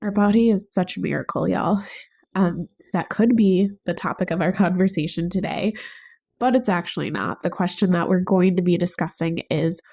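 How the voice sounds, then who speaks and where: A young woman with a stuffy nose from a summer cold, hosting a reflective solo podcast in an earnest, gentle way. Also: on the low side, normal speed, gravelly. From United States. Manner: earnest, gentle, thinking aloud. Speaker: podcast host.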